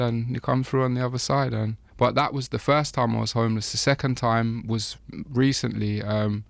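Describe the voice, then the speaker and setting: Gravelly low-voiced man, laid-back talk. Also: no room sound. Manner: relaxed, rambling, laughs often. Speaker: interview guest, chef. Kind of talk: casual interview talk.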